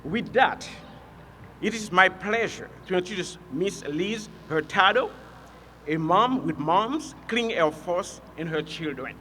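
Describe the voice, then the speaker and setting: Bus driver at a podium, bright, slightly high voice, echoing PA, light hiss. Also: male voice, lively intonation, slow pace. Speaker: bus driver and union member. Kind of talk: reading prepared remarks at an outdoor press event.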